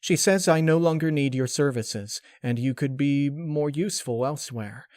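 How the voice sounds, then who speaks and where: A man's voice: fairly high pitch, normal speed, darkish tone, no echo, studio quality, voice pushed up, lightly acted. A man voicing a young female scholar pony, politely scheming, in a formal, reassuring manner for a story reading. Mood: falsely sympathetic.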